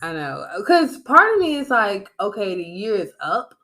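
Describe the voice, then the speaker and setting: A woman in her twenties co-hosting a podcast in casual chat, animated and often laughing. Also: medium pitch, normal speed. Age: 20s. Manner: animated, addresses listeners as "y'all", laughs often. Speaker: podcast co-host.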